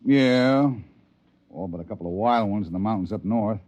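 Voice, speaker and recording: An actor playing a gruff, bigoted frontier father on a Mars settlement who talks in a folksy drawl in a 1950s radio drama. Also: on the low side, normal speed, a little mellow, highs cut off, close to an everyday voice.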